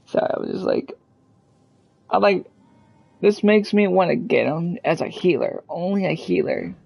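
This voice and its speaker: Hesitant, slightly creaky gamer thinking aloud. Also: mid-range voice, lively intonation, normal speed, a little mellow. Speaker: gaming streamer. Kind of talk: casual gameplay commentary, thinking aloud.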